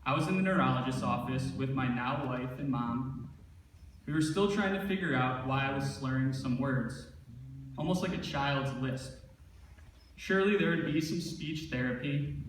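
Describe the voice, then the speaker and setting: A man delivers a prepared speech at an award ceremony. His mid-range voice reverberates heavily around the room. He sounds some distance from the microphone, with a faint layer of noise. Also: medium pitch. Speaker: speaker reading his brother's acceptance speech. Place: large echoing event room at an award ceremony. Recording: very echoey, far from mic, faint noise.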